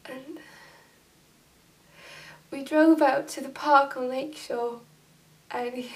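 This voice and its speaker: A young woman as a hushed, guilt-ridden confessor. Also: high voice. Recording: distant camera mic.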